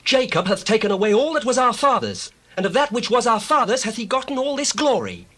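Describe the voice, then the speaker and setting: Resentful young son, scripture drama. Indignant, bright and forceful, extremely high pitch. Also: male voice, sing-song, fairly quick, heavily acted. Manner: indignant, accusing. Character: Laban's son, young man, resentful and complaining. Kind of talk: dramatized Bible reading, audio drama acting.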